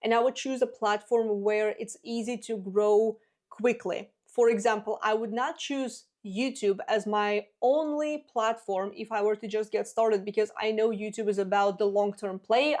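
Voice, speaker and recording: A woman's voice: mid-range voice, darkish tone. A woman in her twenties whose first language is Russian, hosting a solo business advice show in a direct, practical way. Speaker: business podcast host.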